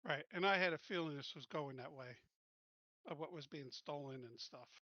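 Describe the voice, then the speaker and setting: A man's voice: fairly high pitch, normal speed, no echo. A man talking casually as a player in a tabletop roleplaying game. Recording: dull, lo-fi voice audio.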